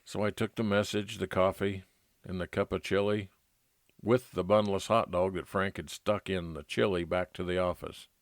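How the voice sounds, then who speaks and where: A man's voice: sits low, steady tone, normal speed, dry recording, clean studio sound. A man playing a wry, world-weary private investigator in an old-time radio noir detective drama. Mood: reflective, wry.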